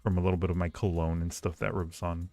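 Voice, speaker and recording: Male streamer, deep voice, casual and flirty chat, roomy sound with faint music underneath. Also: little pitch movement, fast, darkish tone. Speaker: livestream host. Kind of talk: casual livestream chat with viewers.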